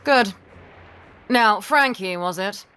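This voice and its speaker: A woman's voice: medium pitch, expressive, slow pace, pushed and bright, clear, resonant tone. A woman playing a stern, cold ship's captain in an audio drama, curt and imperious. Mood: curt, commanding.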